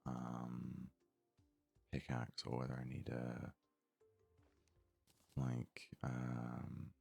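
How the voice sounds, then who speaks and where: A man giving soft-spoken ASMR game commentary in an unhurried, hesitant way, as if thinking aloud. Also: very deep voice, completely flat delivery, gravelly, husky, soft background music. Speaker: gaming video host.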